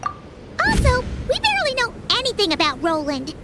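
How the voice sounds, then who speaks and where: Squeaky, tiny cheeky fairy companion. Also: female voice, huge pitch swings, normal speed, heavy background noise, exaggerated voice. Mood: doubtful. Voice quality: squeaky, childlike. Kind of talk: video game story dialogue. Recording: loud game music behind the voice.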